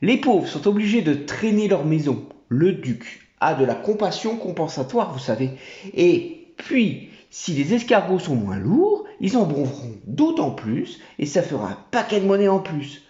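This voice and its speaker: A man's voice: a little high, sing-song, unhurried, warm tone. A man voicing a fawning, chattering male monkey general who collects taxes. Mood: conspiratorial. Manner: obsequious, chattering. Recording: roomy, dull home recording.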